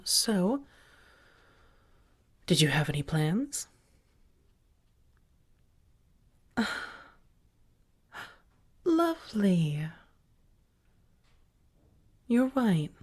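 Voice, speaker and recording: A woman playing an affectionate, protective, elegant vampire roommate in a soft-spoken ASMR roleplay, tender and gently theatrical with breathy murmurs. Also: fairly low pitch. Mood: delighted, flirty.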